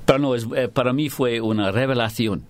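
A middle-aged man with a Glasgow accent, a writer interviewed in Spanish, which he speaks haltingly as a non-native with many restarts and fillers. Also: mid-range voice. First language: English. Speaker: writer and guest.

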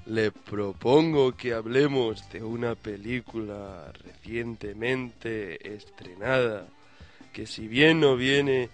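A man hosting a mock talk-show interview in a measured, mock-formal manner. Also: mid-range voice, soft background music. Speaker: host.

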